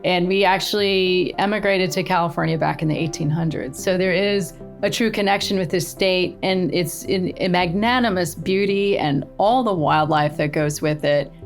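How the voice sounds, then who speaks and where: A middle-aged woman with a somewhat low voice, chatting as a podcast guest. She tells her angling stories with eager energy and laughs easily. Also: moderate pace, few pauses, faint music behind. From born in Australia, raised in the eastern United States. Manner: enthusiastic storyteller, laughs often. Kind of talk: casual interview chat.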